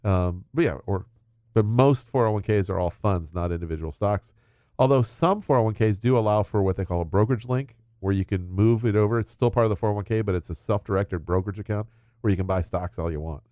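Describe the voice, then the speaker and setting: Middle-aged male advisor, brisk and dry-miked, talks through scenarios. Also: fairly low pitch, dark tone, no echo. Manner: explains with hypothetical examples. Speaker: financial advisor. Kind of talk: casual financial chat.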